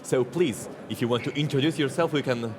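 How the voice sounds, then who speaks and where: A man co-hosting a panel talk, asking brisk and encouraging questions. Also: medium pitch, a crowd in the background. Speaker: co-host.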